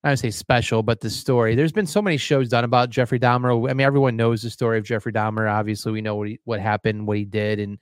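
A man's voice: medium pitch, steady tone, quick pace, hardly any pauses, smooth, no echo, studio quality. An American man co-hosting in loose, profane casual chat, slightly sniffly. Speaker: co-host.

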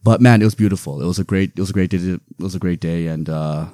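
A man in his twenties co-hosting a casual podcast, playful, swinging between loud excitement and low mumbling. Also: fast, no room sound, clean studio sound. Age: 20s. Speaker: podcast co-host. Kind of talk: casual chat.

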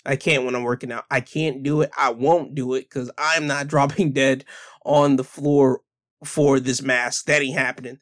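A man's voice: medium pitch, level tone, normal speed, a bit bright. A man hosting a casual solo podcast, talking loosely with restarts and self-corrections, then reading news articles aloud. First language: English. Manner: rambling, self-correcting, chatty. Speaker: podcast host.

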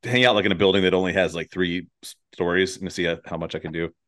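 A man with a fairly low voice hosts a casual comedic conversation. He rambles from thought to thought in a jokey way and swears freely. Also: fairly even intonation, quick pace, a bit bright. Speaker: podcast host.

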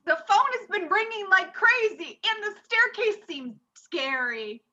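A woman acts out a put-upon husband in an improv comedy, desperate to please his friends. The voice is very high and plaintive, with a sharp edge. The recording is a little muffled. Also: animated, unhurried, heavily acted. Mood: earnest.

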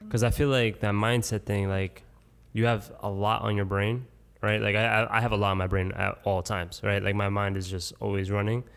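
Gravelly low-voiced man. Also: brisk, hardly any pauses. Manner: relaxed, filler-heavy questions. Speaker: podcast co-host. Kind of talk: casual podcast chat.

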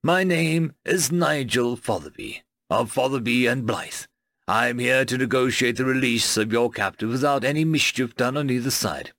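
A man playing a mild, fussy bureaucrat in an audiobook, speaking in precise, formal, gently cautioning sentences. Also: mid-range voice, normal speed, no echo, clean studio sound, close to an everyday voice. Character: mild, fussy, unthreatening bureaucrat negotiator from London. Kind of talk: audiobook character voice.

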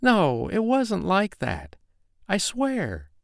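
A man voicing a frightened young woman who pleads softly through tears in an audiobook. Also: wildly swooping pitch, drawn-out words, creaky, no echo, studio quality, heavily acted. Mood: tearful pleading. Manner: soft, pleading, voice raised high.

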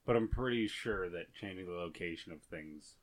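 A man co-hosting a podcast, talking loudly and rambling in casual jokes in an echoey room. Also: low-pitched, moderate pace, a crowd in the background. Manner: loud, joking, rambling. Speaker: podcast co-host. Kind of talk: casual chat.

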